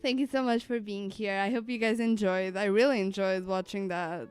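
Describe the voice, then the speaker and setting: A woman's voice: medium pitch, fairly even intonation, moderate pace, smooth, soft background music. A woman game streamer giving casual, playful live commentary with somewhat hesitant phrasing.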